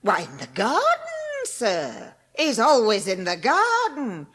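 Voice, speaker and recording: A woman's voice: a little high, wildly swooping pitch, takes it slowly, a bit bright, heavily acted. An actress playing a flustered, deferential English housekeeper in a dramatised audiobook, hesitant and anxious as she explains herself. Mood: flustered, baffled.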